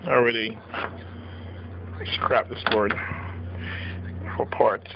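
A man slowly walking through a hands-on circuit board repair at his workbench, explaining each step plainly. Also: on the low side, steady tone, gravelly. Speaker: radio repair technician. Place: workbench, indoors. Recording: dull lo-fi camera audio with noise.

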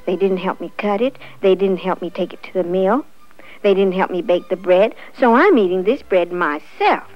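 A woman's voice: medium pitch, normal speed, dry recording, close to an everyday voice. A woman voicing an eager, hardworking little red hen in a storybook, coaxing with simple repeated phrases. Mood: firm. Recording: dull lo-fi sound with faint music.

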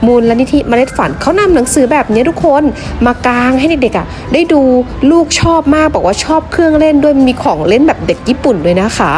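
A woman's voice: high-pitched, sing-song, quick pace, few pauses. A woman in her thirties, a mother, chatting to viewers in a polite, upbeat voice-over about a children's event. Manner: chatty, addresses viewers as everyone, polite ending particles. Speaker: vlogger and mother. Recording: voice-over over loud background music. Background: background music.

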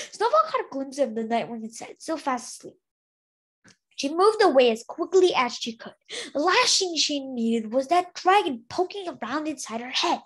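A woman reading a fantasy novel aloud as an amateur, stumbling over words and correcting herself. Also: high-pitched. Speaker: amateur book reader.

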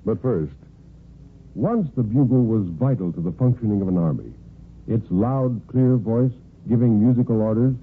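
A man's voice: on the low side, a little hiss or hum. A man working as a radio announcer, reading scripted vintage narration in a formal, declamatory way. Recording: muffled, phone-thin old radio recording.

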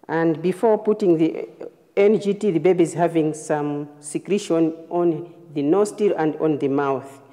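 A woman nurse giving a hands-on clinical demonstration, explaining phrase by phrase in a halting way. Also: sits low, dark tone. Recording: reverberant room, long echo.

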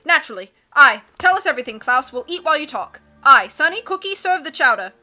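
A woman reading aloud and voicing a blustering male sea captain who booms and keeps saying "aye". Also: sing-song, fast talker, pushed and bright, soft background music, exaggerated voice. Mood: boisterous. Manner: bellowing, peppers speech with "aye".

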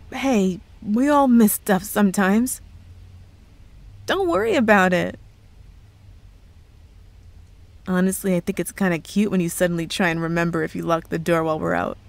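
A woman's voice: mid-range voice, lightly acted. A woman playing a cheerful, evasive young girlfriend who is secretly a witch, in a playful ASMR roleplay with feigned innocence. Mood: casual, evasive. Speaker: audio roleplay voice actress. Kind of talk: ASMR roleplay, scripted one-sided dialogue.

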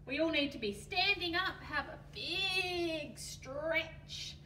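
A woman's voice: extremely high pitch, lively intonation, slow pace. A woman presenting a children's singalong in an encouraging, sing-song way, recorded from a distant camera. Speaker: children's library storytime presenter. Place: indoor children's library corner. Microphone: far camera. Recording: roomy, distant camera, ukulele accompaniment. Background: ukulele music.

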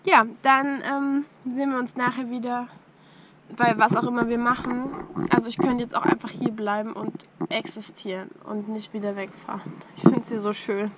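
A woman's voice: on the high side, level tone, normal speed. A woman, chatting casually to a camera inside a small camper van. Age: 20s. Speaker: travel vlogger. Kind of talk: casual vlog chat to camera. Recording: very noisy camera audio with clicks.

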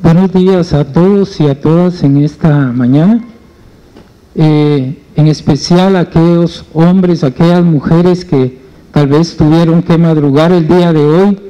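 A middle-aged man addresses a public forum from a panel table in a conference hall. He holds a handheld mic and speaks in an earnest, steady way, and his voice sits high but sounds dark and mellow. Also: unhurried, lo-fi sound. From Guatemala. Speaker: trade union leader.

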